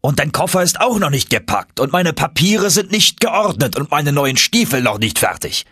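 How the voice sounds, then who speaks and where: A man voicing an excitable, impatient older professor who lectures and commands emphatically in an audiobook. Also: a little high, normal speed, slightly rough, dry recording, studio quality, pitched above the performer's own voice, full character voice. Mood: impatient, shouting.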